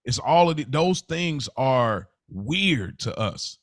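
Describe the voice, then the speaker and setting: A man chatting reflectively as a podcast co-host, halting at times and repeating words for emphasis. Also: mid-range voice, expressive, dry recording, studio quality. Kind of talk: casual reflective podcast chat.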